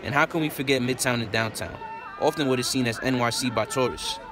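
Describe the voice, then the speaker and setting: A man's voice: medium pitch, quick pace, background noise. A man reading a scripted documentary voice-over in a proud, upbeat tone. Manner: proud, upbeat city introduction. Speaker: documentary narrator.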